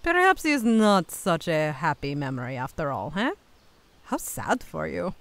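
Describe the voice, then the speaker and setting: A woman's voice: sits low, big pitch swings, measured pace, fairly bright tone, dry recording, heavily acted. A woman voicing a big, beefy cartoon lady who talks in a theatrical, teasing way with broken, foreign-style grammar. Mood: coy, teasing. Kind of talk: cartoon dub voice acting. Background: background music.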